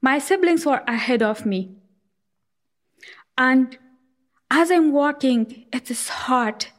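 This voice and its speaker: A young woman from Afghanistan telling her own life story in an earnest, halting way, with frequent fillers. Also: fairly high pitch. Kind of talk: personal storytelling.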